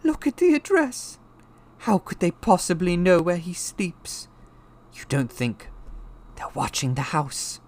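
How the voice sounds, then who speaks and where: Shrill, quivering, fretful aunt. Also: male voice, wildly swooping pitch, a little slow, a little mellow, exaggerated voice. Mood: fearful. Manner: breathless, anxious exclaiming. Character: shrill, fretful middle-aged aunt, easily alarmed. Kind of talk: audiobook character voice.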